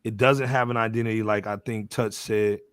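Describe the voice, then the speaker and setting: A man's voice: lowish voice, fairly even intonation, moderate pace, a bit bright, clear, resonant tone, dry recording, clean studio sound. An American man hosting a music panel, talking casually in street slang and bantering with his panelists. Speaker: podcast host moderating a music panel.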